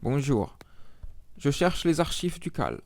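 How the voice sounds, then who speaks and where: A man's voice: fairly high pitch, sing-song, a little slow, creaky, a little mellow, pitched above the performer's own voice, heavily acted. A man voicing a polite vampire hunter hero who stammers under pressure. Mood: flustered.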